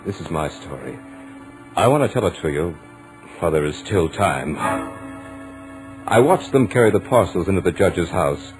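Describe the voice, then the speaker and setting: An actor playing a self-assured, studious young gentleman narrating his own tale in measured, literate 1940s radio drama style. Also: deep voice, animated, over music, low-quality recording. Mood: grave, urgent.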